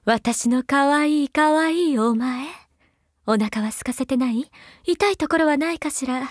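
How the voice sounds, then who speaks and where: High-voiced, doting, seductive mother figure. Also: unhurried, no room sound, clean studio sound. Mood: doting, coaxing. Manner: coaxing, breathy-whispering at times, archaic feminine phrasing. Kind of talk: Japanese mobile game character voice lines.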